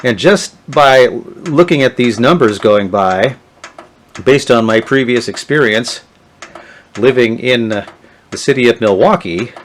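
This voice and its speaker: A middle-aged man, talking casually and haltingly with many fillers as he shows off old electronics. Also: mid-range voice, animated, slight background noise. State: has a cold, sniffly. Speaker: vintage electronics video host. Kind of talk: casual show-and-tell narration.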